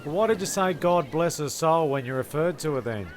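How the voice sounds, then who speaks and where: A man's voice: a little high, animated, a little fast, slight background noise, lightly acted. A man playing the wry, slightly exasperated narrator of a castaway comedy radio play, stumbling now and then.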